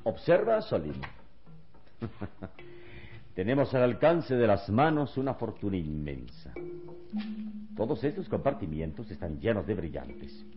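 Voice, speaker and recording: A man playing a serene, wise adventure hero in a vintage radio serial, speaking with calm, deliberate reassurance. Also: on the low side, soft background music. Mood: admiring, serene. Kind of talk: vintage Spanish-language radio serial acting. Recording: dull, lo-fi old radio serial recording.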